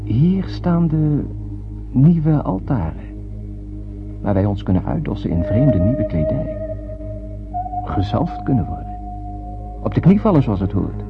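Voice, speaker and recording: A man's voice: medium pitch, wildly swooping pitch, unhurried, long pauses. An actor playing a dreamy, solemn space wanderer who narrates his tale in a poetic, declamatory radio play manner. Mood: awed, searching. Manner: poetic, declamatory, dramatic, with hushed phrase endings. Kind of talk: scripted radio play monologue. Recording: tinny narrow-band radio recording with music.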